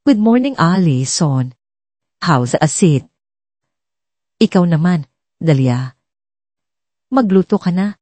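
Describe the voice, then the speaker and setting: A woman's voice: lowish voice, expressive, takes it slowly, pauses often, warm tone, no room sound. A Filipino woman voicing a commanding, brusque male company boss in a story drama. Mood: curt. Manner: brusque, bossy, mixes English into orders.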